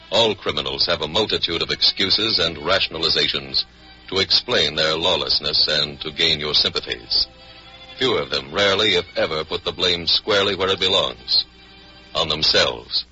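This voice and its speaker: An American man delivering stern, measured, authoritative crime narration in 1940s radio style. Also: low-pitched, sharp tone. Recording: dull, band-limited vintage radio recording. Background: music.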